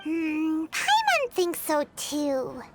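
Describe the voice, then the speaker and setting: A woman voicing a tiny, chatty, childlike companion creature with a chirpy, excitable manner in a video game. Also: high-pitched, drawn-out words, very noisy, exaggerated voice. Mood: puzzled. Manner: chirpy, excitable, refers to self in third person. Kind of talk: cartoonish game voice acting. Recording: loud background music.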